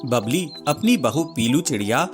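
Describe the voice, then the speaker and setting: A man's voice: mid-range voice, lively intonation, measured pace. A man giving scripted narration of a children's story as a storyteller. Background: music.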